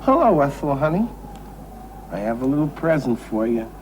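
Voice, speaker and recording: An actor playing a boastful, sentimental and tipsy American gambler in a television drama, rambling and repeating himself with emphasis. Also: male voice, medium pitch, very animated, normal speed, a little mellow, lightly acted. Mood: dreamy, sentimental. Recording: noisy old television drama soundtrack.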